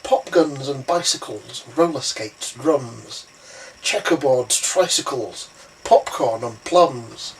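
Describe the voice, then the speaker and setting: Male storybook narrator: theatrical, slow-paced, highly varied pitch, recorded at a slight distance with some noise. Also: lively intonation. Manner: dramatic, theatrical. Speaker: story reader. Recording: roomy, distant, faintly noisy recording.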